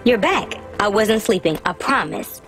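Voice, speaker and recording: An actress voicing an eager, chatty young child who is anxious to please, in a video game. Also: female voice, medium pitch, lively intonation, a little slow, creaky, music in the background. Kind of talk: video game character acting.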